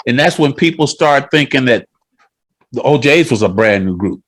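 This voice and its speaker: A man's voice: medium pitch, animated, normal speed, a bit bright. A man hosting a casual music interview on a video call, talking like a knowledgeable fan. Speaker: radio show host.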